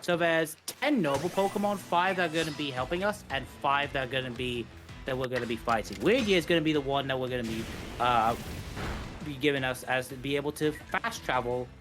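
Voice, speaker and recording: A man's voice: medium pitch, brisk. A man giving live, explanatory speedrun commentary, rambling a little and rephrasing as he goes. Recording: game music behind the voice. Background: video game music.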